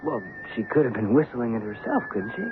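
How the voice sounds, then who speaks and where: A man's voice: mid-range voice, sing-song, fairly quick, lightly acted. An actor playing a matter-of-fact man questioning a strange story in old-time radio drama style. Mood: skeptical, probing. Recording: very noisy, narrow-band old radio recording.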